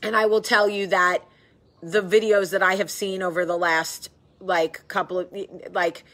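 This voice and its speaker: Woman host, bright tone, candid, chatty, middle-aged. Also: lowish voice, fairly even intonation, normal speed. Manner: candid, chatty, swears casually. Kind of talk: casual live video chat.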